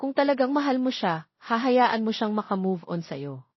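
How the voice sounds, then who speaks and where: A woman's voice: a little high, expressive, moderate pace, no echo, lo-fi sound, voice pushed up. Woman acting a hurt, stern, protective mother. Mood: hurt, firm.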